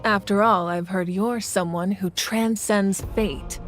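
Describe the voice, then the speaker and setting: A woman playing a composed, commanding leader of a nation in an anime video game, measured, dignified and resolute. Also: mid-range voice, steady tone, lightly acted. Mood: warm, gracious. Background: game music.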